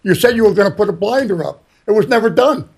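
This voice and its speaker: Raspy, hoarse, high-voiced man. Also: expressive, fast. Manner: worried, insistent, repeats his points. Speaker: resident speaking at public comment. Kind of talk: public comment at a planning board meeting.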